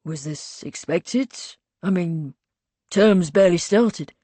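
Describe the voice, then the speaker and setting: A woman's voice: on the low side, takes it slowly, mellow, dry recording. A woman voicing a courteous male director. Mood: polite. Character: polite middle-aged male institute director.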